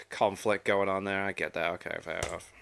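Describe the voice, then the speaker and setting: A man's voice: deep voice, fairly even intonation, moderate pace, vocal fry, fairly bright tone, slight background noise. A man hosting a reaction video with casual, informal commentary, swearing now and then and acting out imagined dialogue.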